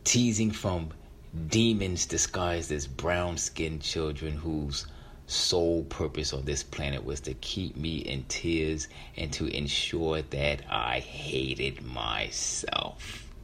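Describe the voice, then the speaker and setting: Male storyteller, middle-aged, low voice, reflective personal monologue, faint background noise. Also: takes it slowly, vocal fry, fairly bright tone. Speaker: featured storyteller.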